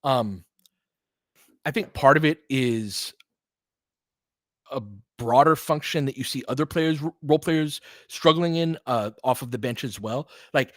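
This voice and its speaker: A man's voice: medium pitch, measured pace, no room sound, studio quality. A man giving informal, candid basketball play-by-play and opinionated commentary as the host of a fan stream.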